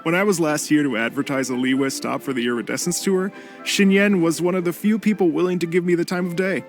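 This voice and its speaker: A man's voice: fairly high pitch, brisk, close to an everyday voice. A man voicing a courteous, fussy music conductor in a video game, speaking formally. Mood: pleasant. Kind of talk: video game cutscene acting.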